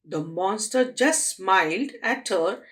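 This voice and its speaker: A woman teacher reading a story aloud to young children in deliberate, expressive phrases. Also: medium pitch. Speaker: storytelling teacher at a day care.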